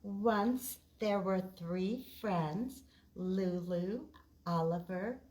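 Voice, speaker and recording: A woman's voice: medium pitch, extremely slow, a little mellow. A woman hosting preschool storytime as a children's librarian, reading picture books expressively and explaining simply to small children. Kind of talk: picture-book read-aloud and chat to young children.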